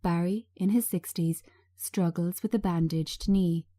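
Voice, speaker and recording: A woman giving a scripted narration of screenplay directions in a measured, understated manner. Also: lowish voice, steady tone, dark tone, no room sound, studio quality.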